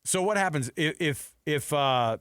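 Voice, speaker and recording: A man's voice: fairly high pitch, expressive, unhurried, a bit bright, clear, resonant tone, no echo. American man talking sports, dry studio sound. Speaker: sports radio co-host. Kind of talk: unscripted sports talk and analysis.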